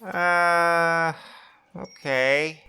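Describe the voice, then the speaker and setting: An actress playing a nervous, rule-bound assistant in an audio drama, stammering and deferential. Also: female voice, on the low side, steady tone, drawn-out words, noisy background.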